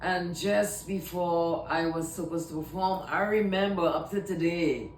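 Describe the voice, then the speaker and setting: A woman from Trinidad and Tobago with a Trinidadian accent, talking casually to camera in a rambling way and often repeating herself. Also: unhurried. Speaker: vlogger. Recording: echoey room, mic at a distance, faint rumble.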